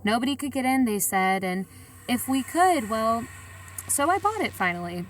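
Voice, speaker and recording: A woman's voice: on the high side, expressive, moderate pace, recorded from a distance, a little hiss or hum, lightly acted. A woman playing a wary bank robber in an audio drama, with a colloquial, matter-of-fact way of talking. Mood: matter-of-fact, confiding. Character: Rose, wary female bank robber recounting her heist. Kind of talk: audio drama acting and first-person narration.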